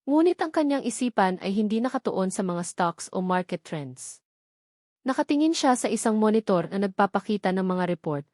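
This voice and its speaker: A woman's voice: medium pitch, a little mellow, no room sound, clean studio sound. A woman with a Filipino accent reading a scripted romantic drama as a story narrator.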